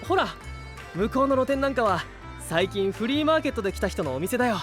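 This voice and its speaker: Animated, earnest young knight hero. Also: male voice, very high voice, fast, faint music behind, heavily acted. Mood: earnest, eager. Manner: sincere, eager, friendly. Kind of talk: anime-style voice drama.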